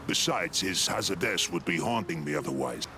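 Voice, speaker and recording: An actor playing a suspicious, moody alien club leader in a video game, speaking in a guarded, grave manner. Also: male voice, sits low, animated, moderate pace, over music. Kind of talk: video game cutscene acting.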